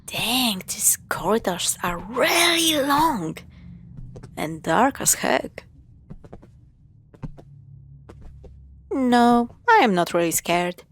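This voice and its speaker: Faint noise and ambience sit behind a woman playing a young girlfriend in a spooky audio roleplay. She takes her time with the words. Her delivery is close and affectionate, moving between teasing sarcasm and hushed asides. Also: a little high, animated, takes it slowly, exaggerated voice. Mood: playful, teasing. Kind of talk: intimate scripted audio roleplay.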